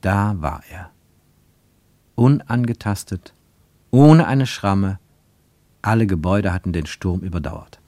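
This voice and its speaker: Guy with a lowish voice reading a literary story for radio, dramatic expressive phrasing, really dry sound. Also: slow pace, long pauses, no room sound. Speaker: narrator.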